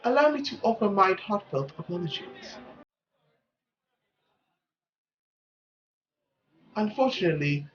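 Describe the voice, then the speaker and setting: A performer voicing a suave, courtly French marquis, gracious and gently condescending in theatrical, flowery, unhurried speech. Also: medium pitch, close to an everyday voice. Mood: mock sorrow. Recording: dull lo-fi audio with faint music.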